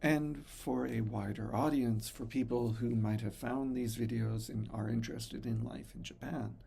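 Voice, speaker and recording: A man in his fifties from the United States, talking slowly and thoughtfully to his son in a reflective personal vlog. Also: mid-range voice, steady tone, creaky, slightly rough. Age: 50s. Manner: slow, thoughtful, pausing often, soft-spoken. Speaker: father recording messages for his son.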